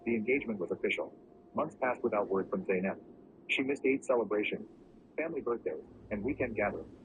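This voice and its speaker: Fast-talking man narrating a story. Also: fairly low pitch. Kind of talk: scripted first-person story narration. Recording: muffled lo-fi recording with clear background noise.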